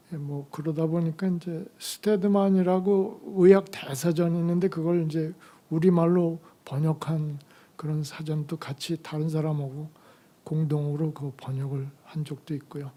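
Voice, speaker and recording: Hoarse older man with a mellow voice. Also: fairly high pitch. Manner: halting, slow delivery with many fillers. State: hoarse from a cold. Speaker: retired medical professor giving a conference lecture. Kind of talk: academic lecture.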